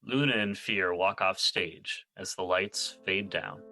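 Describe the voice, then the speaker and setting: A man narrates an audio drama by reading out stage directions. His voice is very low, with a crisp, forceful edge. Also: slow, soft background music.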